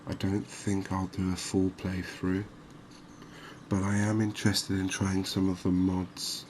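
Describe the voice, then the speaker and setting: A soft-spoken man giving unhurried, soothing ASMR commentary over a video game. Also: deep voice, monotone, a little hiss or hum. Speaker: gaming video host.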